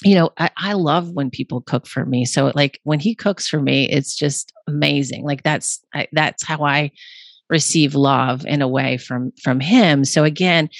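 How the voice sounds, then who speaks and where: A low-pitched woman with a slightly hoarse voice, chatting casually and rambling through fillers and restarts. The recording is dry and close, with no room sound. She talks as a podcast guest explaining her ideas. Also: unhurried, no echo. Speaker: guest nutrition author.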